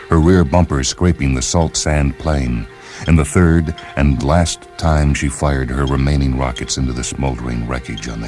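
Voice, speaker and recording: An American man narrating a story for radio in a measured, solemn, dramatic read. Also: extremely low pitch, a little mellow. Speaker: narrator and host. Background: music under the reading.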